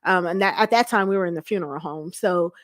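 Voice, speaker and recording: A woman's voice: lowish voice, fast, dry recording. American woman, middle-aged, close dry sound. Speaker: interview guest, church first lady and youth mentor. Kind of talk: conversational personal storytelling.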